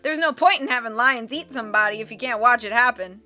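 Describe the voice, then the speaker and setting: A woman reading the lines of a callous man in a crowd, flat and matter-of-fact. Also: on the high side, quick pace, faint music behind.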